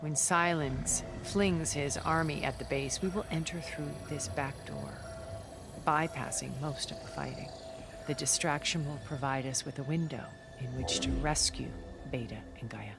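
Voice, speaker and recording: An actress playing a worldly, regretful, scheming middle-aged woman of a far-future elite in a video game, speaking in a measured, articulate, theatrical way. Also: sits low, fairly even intonation, creaky, music in the background. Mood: calculating. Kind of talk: dramatic video game cutscene acting.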